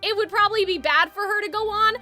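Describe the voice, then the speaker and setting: A young woman giving casual, excitable live reaction commentary as a video game streamer, talking informally. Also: very high voice, fast. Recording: game music behind voice. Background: game soundtrack and dialogue.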